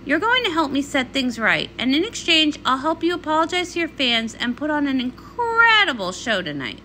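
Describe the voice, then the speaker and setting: A woman's voice: high voice, sing-song, normal speed, bright, forceful tone, slight background noise, heavily acted. A woman voicing a young, sporty female pony princess, blunt, exasperated and sarcastic, in a comic read-along. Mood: firm, bargaining.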